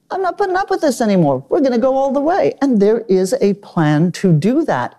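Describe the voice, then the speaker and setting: A woman from Australia speaking as a panelist, giving measured, analytical commentary in a panel discussion. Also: medium pitch. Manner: measured, analytical, occasional animated emphasis.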